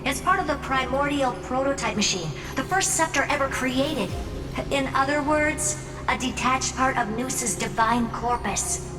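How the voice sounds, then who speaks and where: An actress playing a sharp, commanding scholar in a video game, confronting a villain with crisp, formal, accusatory lines. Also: female voice, high-pitched, measured pace. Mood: accusing, confident. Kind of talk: dramatic video game dialogue. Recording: distant echoing voice over game music.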